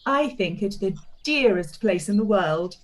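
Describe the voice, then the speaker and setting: An actress playing an expressive, warm middle-aged aunt in a radio play reading. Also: female voice, medium pitch, unhurried, lightly acted. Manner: gushing, expressive. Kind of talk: live-read radio play.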